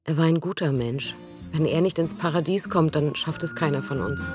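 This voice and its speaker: An actress voicing a grieving woman in a radio play. Her voice is lowish and sorrowful. Music plays clearly beneath her. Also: normal speed, darkish tone, lightly acted. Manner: sorrowful, questioning.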